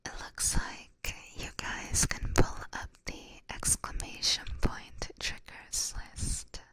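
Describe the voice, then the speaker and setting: A woman's voice: takes it slowly, bright, forceful tone. A woman whispering breathily and informally to viewers on an ASMR livestream, close to a binaural microphone. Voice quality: breathy, mostly unvoiced whisper. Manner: informal, chatty, addressing viewers directly. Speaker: ASMR live streamer.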